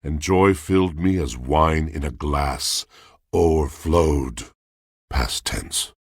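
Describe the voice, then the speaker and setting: An actor voicing a brooding, lovelorn poetic machine in a video game, with theatrical, solemn delivery and a gravelly voice. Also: male voice, rumbling low, slow, no echo, clean studio sound, exaggerated voice. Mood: wistful, grandiose. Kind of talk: video game character acting.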